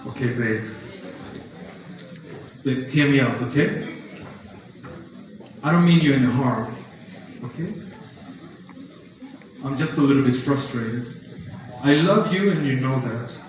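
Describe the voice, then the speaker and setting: An actor in a live stage play playing a frustrated boyfriend who pleads insistently and repeats himself. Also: male voice, mid-range voice, expressive, slow pace, pauses often. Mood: pleading, frustrated. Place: live theatre stage, large indoor room. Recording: echoey hall, distant mic, dull, audience noise.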